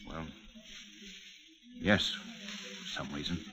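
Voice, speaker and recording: A wary man in hiding in an old-time radio suspense play. His voice is deep and gruff. He speaks tersely, guarded and suspicious. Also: very slow, sharp tone, heavily acted, poor audio.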